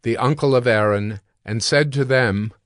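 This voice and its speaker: A man giving scripted scripture narration in a measured, solemn manner. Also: medium pitch, fairly bright tone, no echo, studio quality. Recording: background music under narration.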